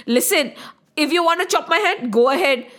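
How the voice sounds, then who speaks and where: A woman voicing a quick-tempered young prince who talks back defiantly and sarcastically in a children's myth tale. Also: sits high, lively intonation, fairly bright tone. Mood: taunting. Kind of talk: children's mythological storytelling.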